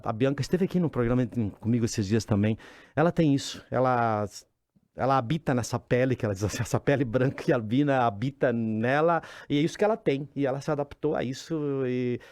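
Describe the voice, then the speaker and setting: Brief: male co-host, expressive storyteller, dry studio sound. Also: medium pitch, no echo. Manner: animated storyteller, acts out quotes. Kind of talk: casual studio talk.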